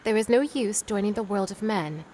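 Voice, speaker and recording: A woman playing a stern, resigned, protective mother in an audio drama, quietly admonishing. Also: medium pitch, expressive, normal speed, slight background noise, natural voice.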